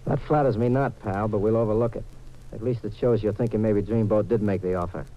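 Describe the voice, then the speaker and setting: A man's voice: lowish voice, fairly even intonation, a little fast, close to an everyday voice. Man acting a wisecracking radio tough guy, muffled recording. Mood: wry, cool. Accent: American. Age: young adult. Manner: slangy, wry, clipped tough talk. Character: wisecracking, streetwise tough young man, hard-boiled. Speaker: lead actor and narrator. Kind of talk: 1950s radio detective drama acting.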